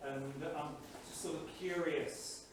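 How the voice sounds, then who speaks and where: A man in the audience giving an informal, reflective comment in a halting, tentative way, thinking aloud. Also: a little high, fairly even intonation. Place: indoor event room, without microphone. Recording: distant, very echoey, noisy room pickup.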